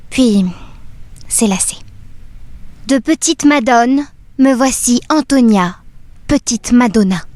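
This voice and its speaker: An actress playing a dreamy, wistful doll who tells her own story in measured, poetic narration for an audio drama. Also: a little high, lightly acted. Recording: music under the voice. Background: music.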